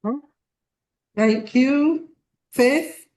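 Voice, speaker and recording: Slow-speaking woman hosting a video call. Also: sits high, warm tone. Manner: slow, stumbles over names, apologetic. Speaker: host. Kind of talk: online meeting roll call.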